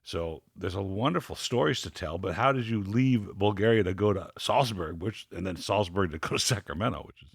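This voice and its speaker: Podcast host, middle-aged man, dry studio mic, low-ish voice, energetic and loose. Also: fairly quick, creaky, few pauses, husky, dry recording. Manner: upbeat, rambling, many restarts. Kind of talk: casual interview chat.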